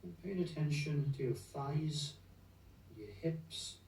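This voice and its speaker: A man leading a guided meditation in a gentle, unhurried, soothing manner, leaving long pauses between phrases. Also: on the high side, creaky, slight background noise. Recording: roomy echo, distant from the mic.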